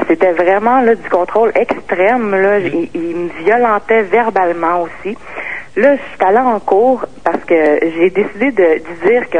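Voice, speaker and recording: A woman from Quebec with a Québécois French accent calling in to a radio show, rambling and repeating herself. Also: on the low side. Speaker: caller. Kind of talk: call-in radio talk. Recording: muffled phone line with faint noise.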